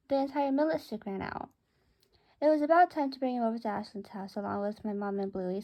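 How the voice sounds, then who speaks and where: A woman narrates a children's story in the first person. Her voice sits fairly high, with a very mellow, rounded tone. She reads it out as a storyteller. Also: rough recording. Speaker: narrator reading a story aloud.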